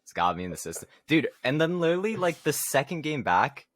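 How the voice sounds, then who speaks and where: A man's voice: a little high, big pitch swings, a little fast, a bit bright, dry recording, studio quality. A young man co-hosting a podcast, chatting casually about himself in slangy, self-mocking gamer talk. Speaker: podcast co-host.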